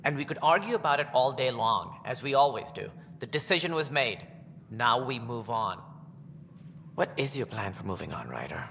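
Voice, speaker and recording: A man voicing a smug, grandiose alien director in a video game, flattering and self-important. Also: mid-range voice, moderate pace, vocal fry. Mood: officious. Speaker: acting director of a colonial initiative. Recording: dull game audio, long reverb, faint noise.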